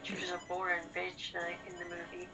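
A woman's voice: fairly low pitch, completely flat delivery, fairly quick, vocal fry, low-quality recording. A woman chatting casually and bluntly as co-host of a gaming session, swearing now and then. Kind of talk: casual chat during a video game session. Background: video game music.

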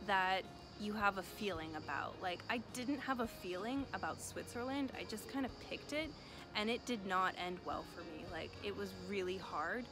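High-voiced young American woman vlogging. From United States. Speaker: vlogger answering viewer questions. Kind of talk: casual Q&A chat to camera. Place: outdoor rooftop balcony above a city.

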